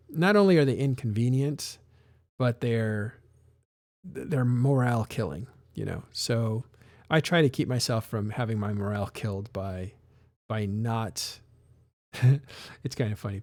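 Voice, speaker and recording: A man's voice: medium pitch, measured pace, darkish tone, no room sound, clean studio sound. A man hosting a casual live stream, talking in a rambling way with many restarts and fillers. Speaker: live show host.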